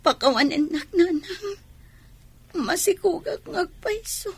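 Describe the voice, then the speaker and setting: A woman's voice: very high-pitched, animated, slow, exaggerated voice. A woman acting a sickly, apologetic young daughter in a radio drama, with a Filipino accent. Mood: tearful, ashamed.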